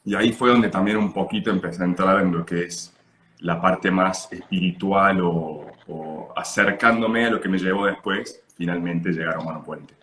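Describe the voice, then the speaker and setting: Low-voiced argentine-accented guy, just woke up, relaxed, echoey room. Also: moderate pace, slight background noise. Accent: Argentine Spanish. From Italy, raised partly in Argentina. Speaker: guest. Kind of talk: casual video-call interview.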